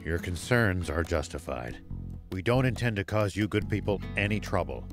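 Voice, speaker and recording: Low-voiced, noble old ex-general. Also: male voice, lively intonation, over music. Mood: grave, sincere. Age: older. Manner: measured, formal, gravely earnest. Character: weary, noble old former army general, calm and resolute. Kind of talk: video game voice acting.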